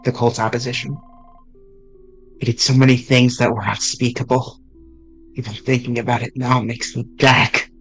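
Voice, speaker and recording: A man's voice: medium pitch, flat delivery, a bit bright, breathy edge, faint music behind, low-quality recording. Man earnestly reading game dialogue aloud. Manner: earnest, halting, with restarts.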